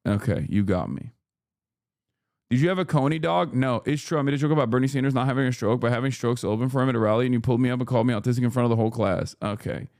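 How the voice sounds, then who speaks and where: Male streamer, 30s, mid-pitched, bantering with chat, swears a lot, dry close sound. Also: very fast, dry recording. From Turkey. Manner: loud, joking, slangy, swears freely. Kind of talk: casual livestream chat.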